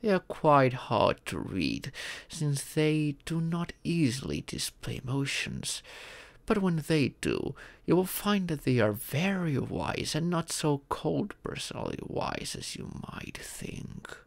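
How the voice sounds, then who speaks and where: A man voicing a wise, fatherly male dragon who patiently teaches in a measured, formal storytelling manner for a roleplay audio. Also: a little high, big pitch swings, creaky, dry recording, heavily acted. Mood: patient, instructive.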